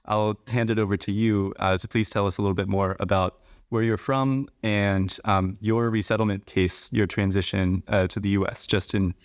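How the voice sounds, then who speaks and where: A man hosting a podcast interview, speaking in a measured, explanatory way. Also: on the low side, steady tone, dry recording, studio quality. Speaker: podcast host.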